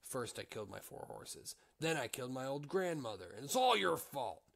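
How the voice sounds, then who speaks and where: A man voicing a greedy, vengeful peasant who speaks gruffly and menacingly. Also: mid-range voice, sing-song, normal speed, a bit bright, a little hiss or hum. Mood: angry.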